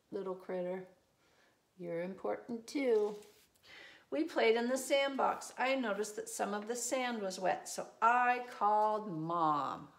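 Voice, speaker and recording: A middle-aged woman reading a children's storybook aloud, adding gentle, reassuring asides in simple phrases for young children. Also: medium pitch. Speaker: preschool teacher reading a picture book aloud. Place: small indoor room with desk and shelves.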